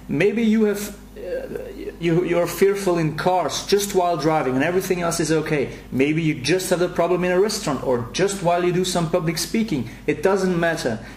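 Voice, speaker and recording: A man's voice: a little high, darkish tone, echoey room, a little hiss or hum. A man in his late twenties from Belgium with a Belgian accent, earnestly recording a video message for his website and often repeating words as he starts a sentence. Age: late 20s. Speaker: website host.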